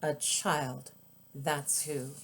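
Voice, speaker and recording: Woman, lowish voice, unhurried and drawn-out, expressive storybook reading for children. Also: a little hiss or hum. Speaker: author reading own children's book.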